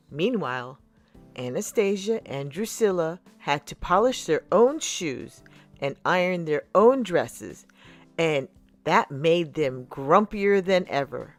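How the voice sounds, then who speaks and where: A woman reading a storybook aloud for children in an expressive way, with dramatic pauses and emphasis. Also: fairly low pitch. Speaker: children's storybook reader and channel host.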